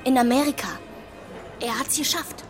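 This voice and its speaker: An actress playing an exuberant émigré stage actress in a radio drama, effusive and exclaiming. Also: female voice, high-pitched, steady tone, moderate pace, close to an everyday voice. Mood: curious. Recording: music behind the voice.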